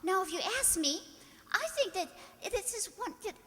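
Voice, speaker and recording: A woman playing an excitable, exclamatory young girl in an old-time radio drama. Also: extremely high pitch, normal speed. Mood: indignant. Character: excitable, plain-spoken young girl, Helen's friend. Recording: reverberant hall.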